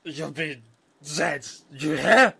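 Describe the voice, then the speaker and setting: A man's voice: a little high, expressive, slow, vocal fry, bright, forceful tone, heavily acted. A man playing a haughty, blustering drunken nobleman in a fantasy audio drama. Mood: outraged, panicked. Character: pompous drunken male noble, whiny and cowardly.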